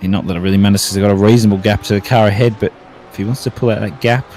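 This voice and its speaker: A man's voice: lowish voice, steady tone, rapid-fire, darkish tone, slight background noise. Australian man calling a sim race. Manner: running through gaps between cars, lifting with the action. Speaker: race commentator. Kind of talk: sim racing commentary.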